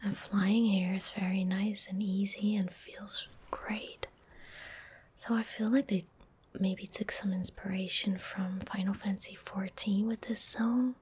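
A woman in her twenties giving soft-spoken ASMR game commentary in a breathy, gentle whisper, unhurried and close to the microphone. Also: medium pitch, steady tone. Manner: slow, unhurried, gentle murmuring. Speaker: ASMR streamer. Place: small indoor room at a desk. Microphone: close desk microphone.

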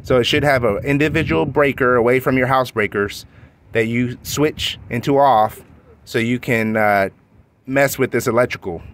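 A man's voice: medium pitch, a little hiss or hum. A man walking around his backyard hot tub with a phone camera, explaining features in a rambling, self-correcting way full of fillers. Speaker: hot tub owner reviewing his own tub. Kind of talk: casual handheld product walkthrough. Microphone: phone camera held by the speaker.

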